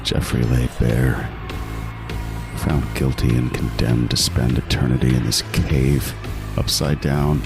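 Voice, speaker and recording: A man's voice: a bass voice, little pitch movement, slow pace, gravelly, music in the background, full character voice. An actor playing a trapped male serial killer in a horror audio drama, obsessive and desperate, moving between intense narration and pleading. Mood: grim, haunted. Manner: intense, swinging from murmured narration to frantic pleading.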